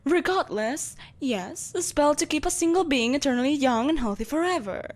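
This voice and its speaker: An ageless, ruthless empress of dark magic, performed by a woman for an audio drama. Her voice sits on the high side for a woman. She speaks in deliberate, theatrical phrases, proud and politely condescending, like a ruler addressing an inferior. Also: animated. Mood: grandiose, theatrical. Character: ancient, ageless evil sorceress empress, haughty, ruthless, possessive.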